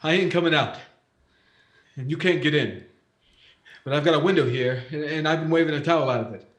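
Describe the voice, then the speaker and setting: A man's voice: on the high side, a little fast, natural voice. A man acting in an old-time radio thriller as a wary, stubborn working-class plumber, plain-spoken, slangy and defiant. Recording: echoey, roomy voice.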